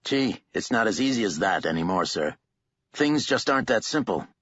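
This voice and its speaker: A man's voice: lowish voice, sing-song, normal speed, a bit bright, no echo. A man voicing a smarmy male government agent who stays syrupy-polite and calls everyone sir. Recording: dull, lo-fi audiobook recording.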